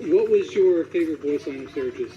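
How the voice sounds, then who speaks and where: A man's voice: fairly high pitch, animated, normal speed. A man interviewing a guest in a casual, chatty style. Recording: dull audio with faint noise.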